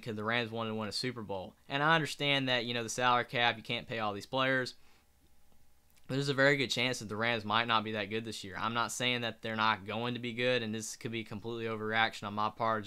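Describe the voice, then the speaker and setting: Young man's bright, clear webcam sports talk. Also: mid-range voice. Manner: rambling, restarts mid-sentence, earnest. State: tired, late at night. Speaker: sports channel host. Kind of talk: casual solo sports commentary. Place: small bedroom, indoors. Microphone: webcam at desk.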